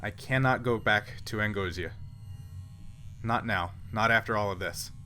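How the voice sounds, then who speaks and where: A man's voice: on the low side, measured pace, pushed and bright, slight background noise. Cornered alien thief played by a man. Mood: grave, pleading. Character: cornered male alien thief pleading for asylum.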